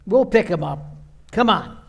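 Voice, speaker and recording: A man plays a cocksure patrolman from old radio comedy. His voice is high with a gravelly creak, and he talks in blunt, brusque slang. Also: lively intonation, a little slow, fairly bright tone, full character voice. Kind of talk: old-time radio play acting.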